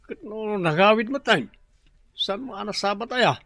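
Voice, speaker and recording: Easygoing Ilocano drinking buddy, lively high voice. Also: male voice, normal speed, full character voice. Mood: casual, teasing. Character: easygoing male drinking buddy, urging another round. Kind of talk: Ilocano radio comedy-drama acting.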